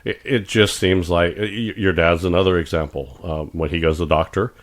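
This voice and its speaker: A man's voice: low voice, fairly quick. A middle-aged man co-hosting a podcast in casual chat, rambling with many restarts and acting out doctors as he retells them. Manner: rambling, repeats words and restarts, animated when retelling doctors. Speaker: podcast co-host.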